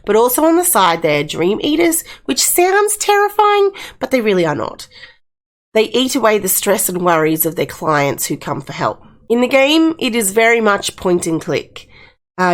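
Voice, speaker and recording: A woman hosting a casual game review, talking in a chatty, appreciative way. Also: mid-range voice.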